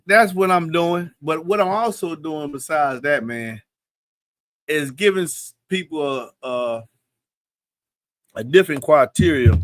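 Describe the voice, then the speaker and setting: A man's voice: a little high, a bit bright, no room sound. A man in his fifties hosting a live Q&A in a loose, slangy, confident way. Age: 50s. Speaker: live stream host answering questions. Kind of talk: casual live Q&A chat.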